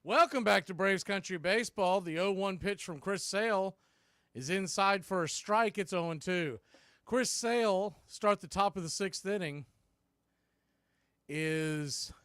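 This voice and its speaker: A man's voice: high voice, measured pace, fairly bright tone, clear, resonant tone, no echo, studio quality. A man doing live baseball play-by-play commentary as the show's announcer, in terse, clipped calls.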